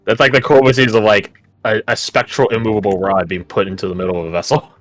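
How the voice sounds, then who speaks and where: A man's voice: on the low side, steady tone, quick pace, dry recording, faint music behind. A man with a New York accent chatting casually and informally as a player in a tabletop game. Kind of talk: casual group game chat.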